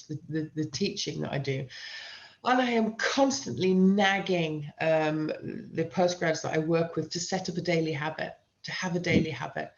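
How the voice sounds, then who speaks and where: A middle-aged British woman who teaches writing, answering interview questions with emphatic advice and repeating key phrases for stress. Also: low-pitched. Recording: dull, lo-fi sound.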